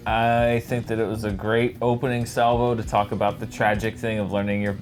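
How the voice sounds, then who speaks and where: A man's voice: fairly low pitch, little pitch movement, a little fast, soft background music. A man serving as a panel judge, explaining his decision casually in wordy, reasoned sentences. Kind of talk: casual considered commentary.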